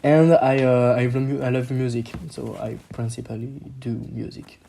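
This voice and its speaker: A man's voice: medium pitch, level tone, moderate pace, vocal fry, mellow. A man of twenty with a French accent and a mid-range voice talks casually as a podcast guest. He feels his way through sentences and drifts into French. There is slight background noise. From Paris, France. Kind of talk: casual chat.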